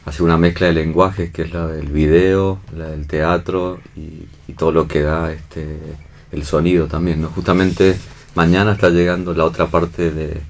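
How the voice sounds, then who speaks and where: A man's voice: deep voice, little pitch movement, moderate pace. A man with an Argentine accent, a director explaining his work in casual interview talk, often checking for agreement. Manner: seeks agreement with tag questions. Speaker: theatre and film director being interviewed.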